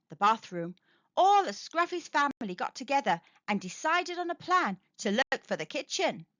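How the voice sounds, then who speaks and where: A woman gently and expressively reading a children's storybook aloud. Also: sits high, dry recording, dull audio. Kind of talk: children's read-aloud narration.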